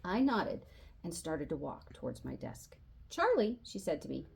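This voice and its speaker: Lively, low-pitched woman reading a storybook. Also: normal speed, gravelly, slightly rough. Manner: animated, acts out dialogue. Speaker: library storyteller reading a children's novel aloud. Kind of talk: expressive scripted storybook narration as a boy narrator.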